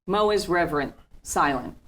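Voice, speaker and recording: A woman with a slightly low voice serves as narrator at a table read. She delivers scene headings and stage directions as scripted narration. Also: lively intonation, normal speed.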